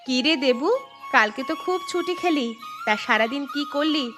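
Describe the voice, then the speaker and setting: A woman acts a village tutor in a cartoon, caring yet mildly strict. She questions and gently chides in a high-pitched voice. Music runs behind her. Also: lively intonation, moderate pace. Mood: concerned, chiding. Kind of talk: Bengali cartoon dubbing.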